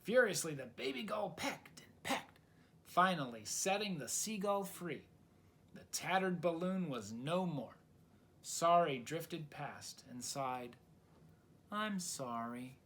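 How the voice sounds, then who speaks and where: Guy doing dramatic storytime for little kids, really lively pitch, takes it slow. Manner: dramatic, asks the listener questions. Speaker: children's story reader. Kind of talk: expressive read-aloud storytelling for young children.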